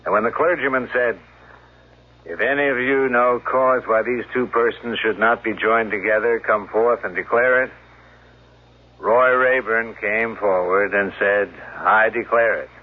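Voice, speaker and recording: A calm solemn cop in a radio play, raspy, thin old broadcast sound. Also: male voice, fairly low pitch. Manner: measured, solemn, explanatory. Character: calm, world-weary male police officer recounting a tragedy. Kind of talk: 1970s radio drama acting. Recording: narrow-band 1970s radio broadcast.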